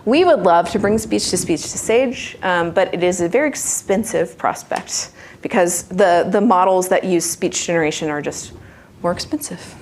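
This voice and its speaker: A woman's voice: lowish voice, moderate pace, a little mellow, a little hiss or hum. A woman speaker answering audience questions about teaching, talking in a thoughtful way with frequent restarts and fillers. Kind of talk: conversational presentation Q&A.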